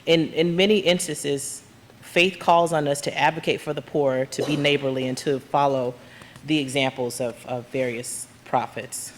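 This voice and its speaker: A woman from Georgia, a labor activist, giving a loud and earnest talk from the heart to a church congregation. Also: low voice, fairly even intonation, moderate pace. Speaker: guest speaker, labor union activist. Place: church sanctuary. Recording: long hall reverb, faint room noise.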